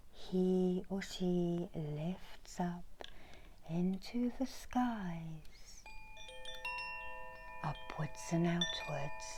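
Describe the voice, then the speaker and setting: A middle-aged woman whispering a guided meditation in ASMR style, breathy and hushed, with long soothing pauses. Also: on the low side, noisy background. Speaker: meditation guide and Reiki healer. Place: small indoor room.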